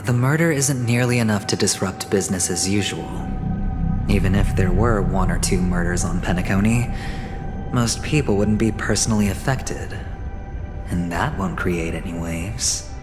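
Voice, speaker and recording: A man voicing a suave, sly young gambler in a video game cutscene, with smooth, theatrical, sardonic delivery. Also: low voice, normal speed, close to an everyday voice. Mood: sly, reflective. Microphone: close studio voice recording. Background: game music.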